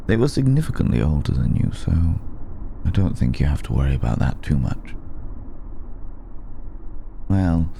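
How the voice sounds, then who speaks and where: An extremely deep-voiced, suave old vampire, acted by a man in an intimate ASMR roleplay. He speaks in a very dark, gravelly tone with refined, wry pauses, over faint background noise. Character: suave, worldly old male vampire, amused and courtly. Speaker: voice actor in audio roleplay.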